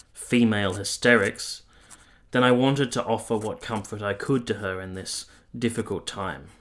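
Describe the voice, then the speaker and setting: A man playing an ardent, self-regarding young army officer, narrating a period radio drama in a formal, measured, literary manner. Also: lowish voice, a bit bright. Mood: earnest. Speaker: narrator and lead character.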